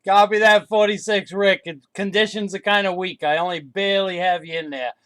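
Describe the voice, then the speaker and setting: A man's voice: high voice, steady tone, fairly quick, a bit bright. A man working as a CB radio operator, calling out contacts in a friendly way, repeating call signs and signing off.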